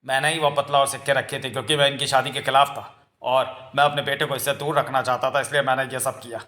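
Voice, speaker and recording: A man's voice: mid-range voice, fairly even intonation, fast, bright, forceful tone, close to an everyday voice. A man voicing a rich, stubborn old village landlord and scheming father in a comic-book story, with a melodramatic, scolding delivery that stumbles at times. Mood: pleading, remorseful. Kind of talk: amateur comic-book dramatization.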